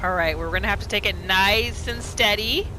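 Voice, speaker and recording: A woman hosting a roleplay gaming video, giving casual, expressive commentary as she plays. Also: medium pitch, normal speed, a little hiss or hum, low-quality recording. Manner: expressive, reactive. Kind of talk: casual let's play commentary mixed with in-character roleplay. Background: game music.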